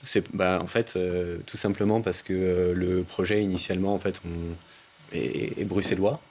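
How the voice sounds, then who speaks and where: A man's voice: sits low, measured pace, slight background noise. A man with a French accent, a start-up founder explaining his service with many hesitations. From France. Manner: relaxed, explanatory, many fillers.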